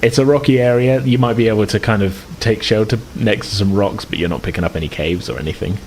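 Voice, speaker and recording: A man's voice: fairly low pitch, quick pace. A man running a tabletop game as game master, improvising descriptions and often groping for words. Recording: clear background noise.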